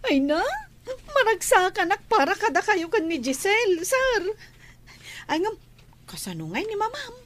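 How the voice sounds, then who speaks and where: High, chatty Filipino maid. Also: female voice, big pitch swings, unhurried, pauses often, a bit bright, full character voice. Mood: sympathetic. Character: kindly, chatty female household servant. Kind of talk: Ilocano radio comedy-drama acting. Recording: radio drama with music behind.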